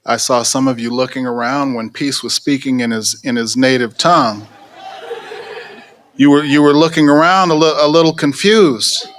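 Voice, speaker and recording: Guy emceeing a ceremony, relaxed, bit amused, faint noise. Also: mid-range voice, moderate pace. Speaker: ceremony announcer. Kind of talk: introducing speakers.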